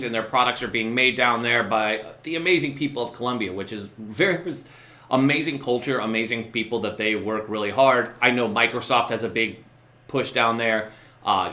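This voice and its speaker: Enthusiastic host guy, bright forceful voice, echoey room. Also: medium pitch. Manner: enthusiastic, chatty. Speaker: talk show host. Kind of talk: casual interview chat.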